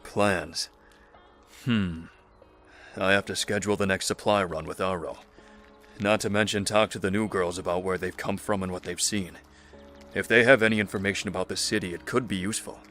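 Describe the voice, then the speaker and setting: A man acts a weary survivor in an audio drama. His voice is very deep, with a dry, conversational, understated delivery over faint music. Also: steady tone, moderate pace, fairly bright tone. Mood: dry, companionable.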